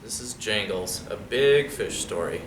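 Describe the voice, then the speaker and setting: A man reads a children's story aloud with a very lively, swinging pitch and casual asides to his young listeners. He sounds set back from the microphone in an echoey room. There is clear background noise around his voice. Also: measured pace. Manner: conversational, checks in with young listeners using questions. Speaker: storyteller reading a picture book aloud to children. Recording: roomy, distant mic with clear background noise.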